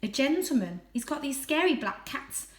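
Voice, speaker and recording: A woman's voice: sits high, big pitch swings, normal speed, roomy sound, voice pushed up. A woman voicing a naive young male pedigree cat in a storybook, earnest and innocent with a touch of proud dignity. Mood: earnest, unsure.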